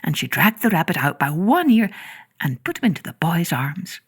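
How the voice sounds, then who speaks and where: A woman narrating a children's story from a script, in a gentle, expressive storytelling manner with hushed phrase endings. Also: lowish voice, moderate pace, breathy edge.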